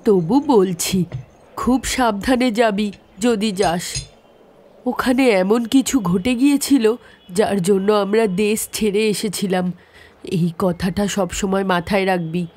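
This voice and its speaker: A woman playing a worried, weary widowed mother in an audio story, with a husky voice and solemn, cautioning manner. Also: medium pitch, slow, a little mellow.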